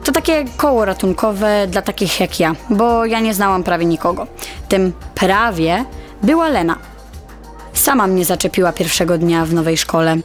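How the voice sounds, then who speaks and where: Young actress as shy teen girl telling her story in a radio play, quiet n confiding, music behind her. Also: mid-range voice, normal speed, close to an everyday voice. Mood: reflective. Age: teenager. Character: Zosia, shy insecure high-school girl narrating her story. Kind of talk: audio drama first-person narration. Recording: music bed under the voice.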